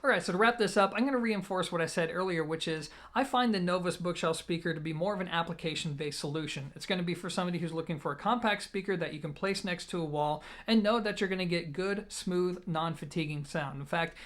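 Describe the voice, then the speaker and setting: High-pitched man reviewing audio gear. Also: a bit bright. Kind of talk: product review to camera, conversational commentary.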